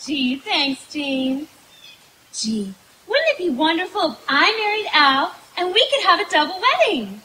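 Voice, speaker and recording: A woman's voice: very high-pitched, sing-song, a little slow, pushed and bright, exaggerated voice. An actress playing a sweet, naive, dim-witted young woman in an old-time radio sitcom. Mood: wistful. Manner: naive, scatterbrained. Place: outdoor stage in the woods. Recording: noisy live outdoor stage recording.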